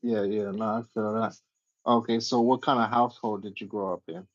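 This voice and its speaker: A man's voice: fairly low pitch, fairly even intonation, moderate pace, dry recording, highs cut off. A man hosting a casual livestream interview, slangy and agreeable, asking questions in a laid-back way.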